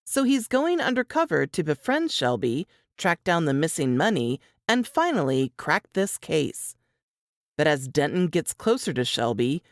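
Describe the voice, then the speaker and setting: A woman narrator reads scripted copy in a dramatic, suspenseful style. Her voice sits low and moves through lively swings of intonation, recorded dry with no sense of a room around it. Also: no room sound. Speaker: narrator of a book blurb.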